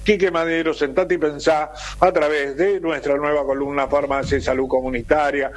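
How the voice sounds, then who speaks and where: A man's voice: fairly high pitch, little pitch movement, moderate pace. A man in his seventies with an Argentine Spanish accent, hosting local radio, chatty and jokey, reading announcements and musing. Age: 70s. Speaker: radio host.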